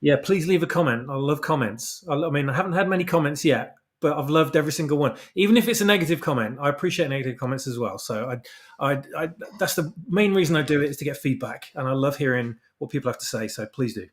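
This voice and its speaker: A man speaking as a podcast guest about his own creative work, chatting casually with halting, restarted phrases. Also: on the high side. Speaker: guest, fan audio drama creator. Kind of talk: casual chat.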